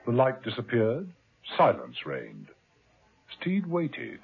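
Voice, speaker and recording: A man narrating a 1970s radio drama in a dramatic, measured storytelling manner. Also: medium pitch, wildly swooping pitch, vocal fry, breathy edge. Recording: tinny old radio broadcast with music.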